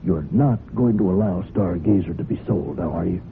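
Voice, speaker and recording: An actor playing an older, paternal judge in a vintage radio drama, deliberate and gravely persistent as he admonishes. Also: deep voice. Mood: reproving. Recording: muffled, noisy old radio broadcast recording.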